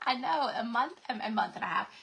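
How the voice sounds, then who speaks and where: Bright-voiced woman crafting live. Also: fairly high pitch, vocal fry. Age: 40s. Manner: chatty, folksy, talks to viewers as "y'all". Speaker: craft channel host.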